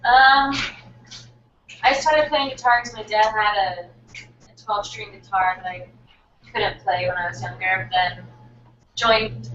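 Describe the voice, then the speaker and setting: A young woman gives casual answers as an interviewed band member. Her medium-pitched voice is distant and echoey, with a dull sound and faint noise. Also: fairly even intonation, a little slow, pauses often, fairly bright tone. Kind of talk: casual chat. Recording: echoey, distant, dull, noisy group recording.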